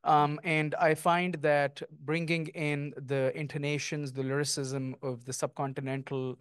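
A middle-aged man, a guest author, speaking thoughtfully and lyrically with many pauses at an onstage conversation, on a handheld mic. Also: on the high side, flat delivery, smooth, no echo, clean studio sound. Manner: thoughtful, lyrical, with frequent ums. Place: small stage before an audience, indoors.

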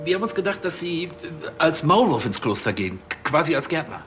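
Fussy older policeman, officious, fast talker, highish voice, TV drama. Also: big pitch swings, over music. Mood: insistent. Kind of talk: naturalistic TV drama acting.

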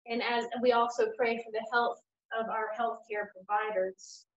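A woman with a slightly high voice leads liturgical prayers in a measured, steady cadence. She is recorded on a webcam in a small room at home. The sound is echoey and a little dull and lo-fi. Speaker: church worship leader.

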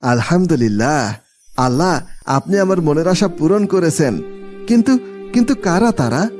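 A man's voice: fairly high pitch, lively intonation, moderate pace, darkish tone, soft background music, natural voice. A man playing a poor, pious and earnest village farmer father in a cartoon. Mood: overjoyed, grateful. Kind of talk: cartoon voice acting.

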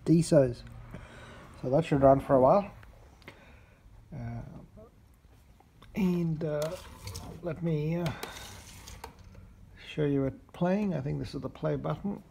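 A man's voice: on the high side, slow, creaky, pauses often. A man giving a casual, unscripted demonstration as a hobbyist repairer, recorded on an amateur home video. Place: indoors at a cluttered home table. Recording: noticeable background noise, amateur home video.

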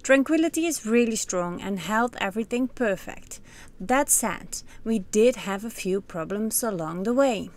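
A Dutch woman in her thirties with a Dutch accent, calmly narrating her family's sailing year in a scripted voice-over. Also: mid-range voice, unhurried. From Netherlands. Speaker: sailing vlogger. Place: on a sailboat cockpit under a canopy, outdoors.

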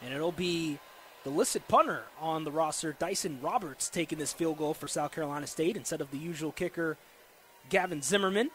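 An American man calling live football play-by-play on the radio with excitable, dramatic delivery. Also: on the high side, fairly quick. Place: football stadium during a live game. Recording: noisy live stadium broadcast.

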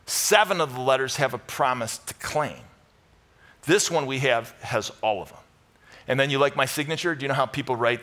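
Bright, forceful American preacher, middle-aged man. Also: medium pitch. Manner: conversational, punchy short phrases with repetition and emphasis. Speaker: pastor. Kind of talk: sermon to a live congregation with Bible teaching. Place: church stage, indoors.

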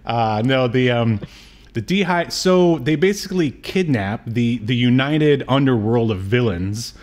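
A man with a medium-pitched voice co-hosts a relaxed podcast about films from a room at home. He jokes along as he goes and tends to halt and restart his thoughts mid-sentence. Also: a little slow. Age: late 30s. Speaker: podcast co-host. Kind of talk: casual movie discussion, joking. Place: home room on a video call.

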